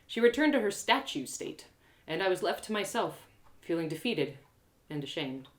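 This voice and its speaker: A woman performing a first-person adventure story as a live reading, dramatic and expressive. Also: lowish voice, normal speed.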